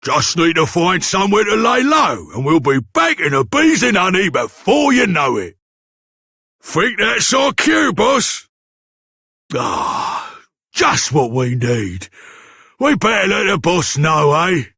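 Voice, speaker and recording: An actor playing a scheming, loyal bandit henchman with a raspy Cockney voice in a video game. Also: high-pitched, sing-song, slow, pushed and bright, no room sound, clean studio sound, full character voice. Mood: conspiratorial. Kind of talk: video game character acting.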